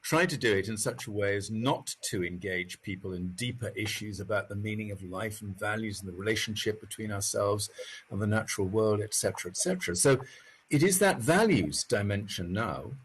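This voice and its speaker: A man's voice: on the low side, brisk, a little hiss or hum. A man giving a reflective panel answer, speaking in an articulate, emphatic way.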